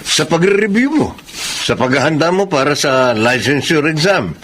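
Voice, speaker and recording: An actor playing a generous, responsible young eldest brother, earnest and encouraging, in a Filipino radio drama. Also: male voice, a little high, lively intonation, normal speed, a bit bright, slight background noise.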